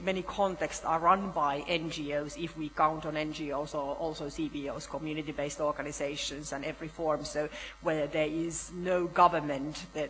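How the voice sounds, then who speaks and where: A middle-aged woman on a panel, answering questions thoughtfully with halting restarts, on a conference hall stage. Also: low voice, a bit bright. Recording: reverberant hall with faint hum.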